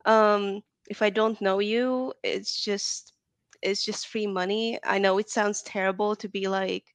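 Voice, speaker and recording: Interview guest: woman, gravelly voice, dry close sound, chatty with lots of likes and ums. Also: medium pitch, fairly even intonation, a little slow, no room sound. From Hungary. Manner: chatty, frequent "like" and "um". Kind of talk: casual interview chat.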